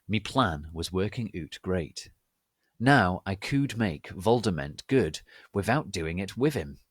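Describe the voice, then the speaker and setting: A man's voice: low voice, animated, slow, breathy edge, no echo, studio quality. A man giving a theatrical, exaggerated dramatic reading of comic fan fiction. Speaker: reader.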